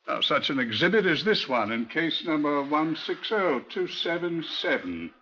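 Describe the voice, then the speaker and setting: An actor playing a genteel, proud British police museum custodian in a 1950s radio drama, formal and precise. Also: male voice, on the high side, very animated, moderate pace, bright, forceful tone, slight background noise. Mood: solemn, explanatory. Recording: dull old radio recording.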